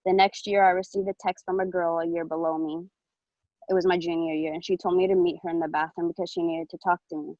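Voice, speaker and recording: A woman's voice: lowish voice, flat delivery, fast talker, mellow, dry recording. A woman of about twenty from Ethiopia, reading a prepared speech as a public commenter, earnest and personal, with fillers. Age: around 20. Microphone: video call. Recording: dull lo-fi video call audio.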